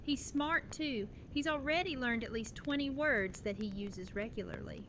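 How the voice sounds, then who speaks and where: A woman's voice: fairly high pitch, normal speed, a bit bright, natural voice. A woman playing a tender, resolute young widowed mother in an audio drama, speaking in an earnest, conversational way. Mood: fond, proud. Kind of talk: fan audio drama dialogue. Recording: clear background noise and ambience.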